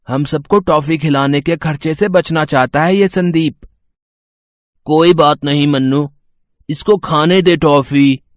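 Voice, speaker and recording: A man's voice: fairly high pitch, no echo, clean studio sound, lightly acted. A man playing a teasing, mocking schoolboy in a dramatised children's moral story.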